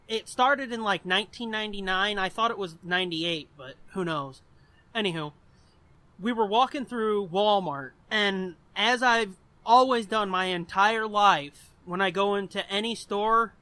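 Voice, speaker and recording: A man's voice: sits high, bright, forceful tone, no room sound. A man in his twenties telling a story on a podcast in a rambling, casual way, swearing often. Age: 20s. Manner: profane and rambling. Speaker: podcast co-host. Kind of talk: casual chat.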